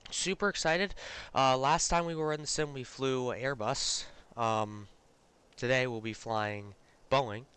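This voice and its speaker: A man's voice: mid-range voice, measured pace, a bit bright, smooth. A man hosting a live stream, congested from illness, chatting casually with lots of fillers and restarts. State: congested, recovering from a cold. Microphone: close desk mic.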